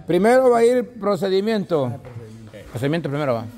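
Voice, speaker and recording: A man's voice: high voice, sing-song, brisk. Salvadoran man directing a noisy crowd. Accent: Salvadoran Spanish. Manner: bossy, directing people around. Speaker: host organizing a cooking contest. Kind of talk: casual chat. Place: large indoor sports hall with a crowd. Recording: loud crowd chatter behind.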